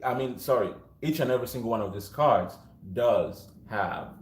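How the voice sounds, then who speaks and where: A man with a deep, low voice giving a rambling tarot reading to a viewer, often breaking off mid-thought, recorded without a microphone. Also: moderate pace. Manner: rambling, self-interrupting, frequent fillers. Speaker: tarot reader. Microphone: no microphone, built-in device mic. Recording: echoey room.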